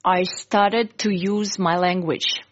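A woman, a Russian speaker, telling her story in earnest, halting English in an interview. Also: fairly low pitch, fairly even intonation, muffled recording. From Ukraine. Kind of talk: emotional interview.

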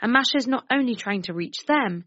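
A woman reporter and presenter narrating a documentary series in a measured, clear way. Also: a little high, animated, fairly bright tone, no echo, highs cut off. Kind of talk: scripted narration.

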